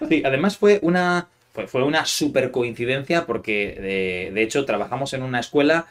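Spaniard host, easygoing interviewer. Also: male voice, mid-range voice, animated, moderate pace. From Spain. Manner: friendly questioner, many fillers. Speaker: podcast host, Spanish teacher. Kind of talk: casual interview chat.

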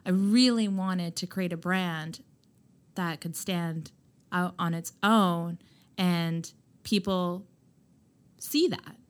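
A loud, emphatic woman with a somewhat low-pitched voice. She talks candidly as a podcast guest about building her business and leans hard on filler words. Her delivery is forceful and direct. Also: slow, long pauses. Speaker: skincare brand founder, podcast guest. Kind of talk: candid conversational interview.

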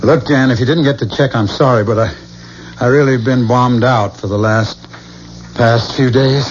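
An actor playing a dazed, apologetic estranged husband in a 1970s radio drama, halting and defensive. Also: male voice, mid-range voice, fairly even intonation, a little hiss or hum, natural voice. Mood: weary, evasive. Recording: dull, humming 1970s radio recording.

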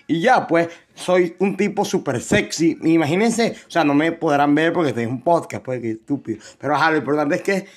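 A man's voice: a little high, fairly quick, few pauses. A young man from Venezuela with a Venezuelan accent, hosting his first podcast alone in a rambling, self-joking way full of fillers and restarts. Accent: Venezuelan Spanish. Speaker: podcast host. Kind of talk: casual solo podcast chat.

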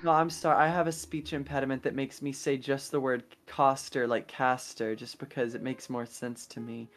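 A man's voice: medium pitch, flat delivery, normal speed. Male game player, mid-range voice, joking stammered in-character improvisation. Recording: music playing behind the voice. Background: music.

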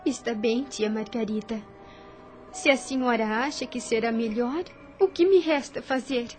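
An actress as an anxious, dutiful young woman in a radio period drama. Her voice is high and earnest, with formal, emotional phrasing. Faint music plays underneath. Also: measured pace, lightly acted. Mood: resigned, questioning. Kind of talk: radio soap opera acting.

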